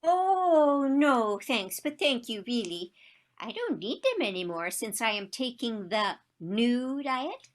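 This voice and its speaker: An actress playing an excitable older society lady and diet faddist, gushing and theatrical in a stage comedy over a video call. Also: on the high side, takes it slowly.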